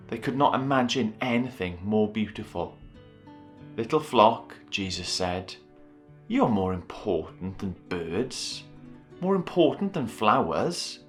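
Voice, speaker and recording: A man presenting and reading a children's Bible story in a chatty, storytelling style. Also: on the low side.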